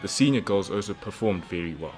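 Deep-voiced male newsreel presenter. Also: animated, brisk, darkish tone. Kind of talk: scripted news narration.

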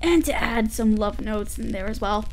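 A woman's voice: medium pitch, normal speed, a little mellow, lightly acted. A woman playing an affectionate, dorky, easily flustered workaholic mechanic girlfriend in an intimate roleplay audio, giggly and gushing. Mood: nervous, affectionate.

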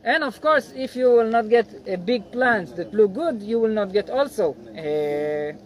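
A man in his forties, an agronomist, explaining farm work patiently in halting, non-native English beside a field. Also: high voice, lively intonation, low-quality recording. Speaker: agronomist guiding a farm tour. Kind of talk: outdoor field lecture. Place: outdoors by a cornfield.

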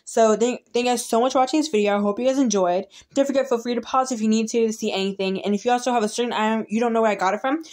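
A woman YouTuber talks through her game build in a quick, casual stream of chat. She rambles and often backs up to restart her sentences. She records it on a phone aimed at a tablet screen. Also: on the high side, steady tone, darkish tone. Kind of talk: casual game walkthrough narration. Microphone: phone filming a tablet screen.

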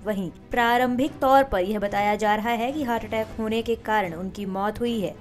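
News anchor, woman, formal steady read, higher voice, soft music under. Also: normal speed. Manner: formal, steady newsreader delivery. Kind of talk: scripted news narration.

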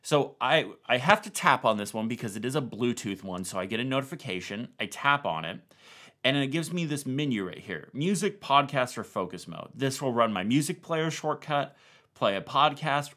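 A man's voice: medium pitch, expressive, moderate pace, a bit bright. A man, narrating a tech tutorial in a casual, conversational, explanatory way. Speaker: tech video host.

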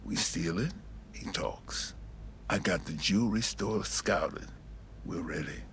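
A man's voice: deep voice, slow, gravelly, a bit bright, a little hiss or hum, full character voice. A man playing a shrewd middle-aged heist planner in a video game, sly, dry and matter-of-fact. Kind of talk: cinematic game dialogue.

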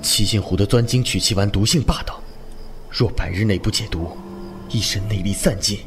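A man's voice: on the low side, moderate pace, close to an everyday voice. A young man playing an upright, stern, protective martial brother in a wuxia audio drama, earnest and righteous. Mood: grave. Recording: music under the voice.

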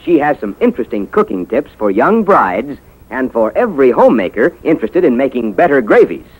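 A man's voice: mid-range voice, very animated, fairly quick, husky, narrow, tinny audio. A man with an American accent announcing and narrating a 1950s radio show in a polished, emphatic style. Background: music.

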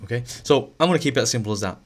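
A male teacher narrating a screen-recorded lesson, a little hoarse as he is losing his voice, talking through each step with fillers and restarts. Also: mid-range voice, very fast. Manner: relaxed, thinking aloud with fillers and restarts.

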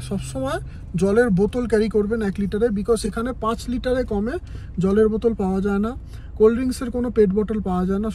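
A man chatting casually to viewers in a travel vlog, giving tips as he goes. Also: high voice. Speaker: travel vlogger. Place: car and outdoor sightseeing spots. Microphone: phone held by the speaker. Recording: phone recording with loud road and outdoor noise.

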